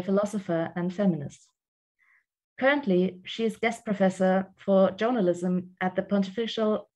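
A woman's voice: mid-range voice, a little mellow. A young woman moderating an online panel, introducing the speakers with frequent hesitations, over a headset on a video call. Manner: halting, with fillers.

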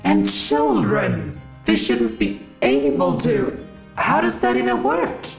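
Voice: medium pitch, huge pitch swings, slow pace, gravelly, full character voice. An actor playing an exasperated, sarcastic, indignant God in a satirical audio drama. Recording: roomy echo with music.

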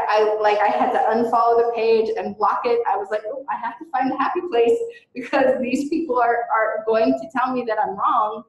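A woman giving a recorded presentation as an educator and advocate, speaking in an earnest, emphatic, conversational way. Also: a little high. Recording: very echoey room.

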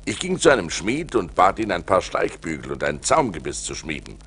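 An actor playing an adventurous seafarer who recounts his ordeals theatrically in a German radio play, moving between hushed and forceful delivery. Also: low voice, wildly swooping pitch, fairly quick, husky, full character voice. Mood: vivid storytelling. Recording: noisy vinyl record transfer.

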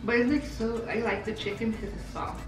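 A woman's voice: a little high, fairly quick. Woman, 21, chatty and giggly, slangy casual talk, living room couch, distant camera, echoey room, music behind. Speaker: guest. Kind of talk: casual mukbang Q&A chat. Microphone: far camera. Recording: roomy room from far off with background music. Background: background music.

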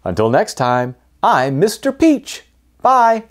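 A man reads a picture book aloud for children. His pitch rises and falls dramatically in a sing-song way, and he takes his time with each phrase. The sound is close and dry, from a lapel mic. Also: no room sound. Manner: deliberate, animated, sing-song delivery. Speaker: children's storytime host. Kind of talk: expressive read-aloud narration for children. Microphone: lapel microphone.

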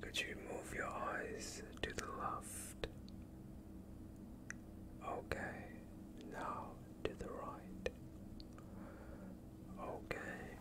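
A gentle, reassuring vampire doctor, acted out by a young man, speaks entirely in a soft whisper. The delivery is very slow and broken into short, soothing phrases, in the style of a close-up ASMR medical roleplay. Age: young adult. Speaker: ASMR streamer. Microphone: close microphone.

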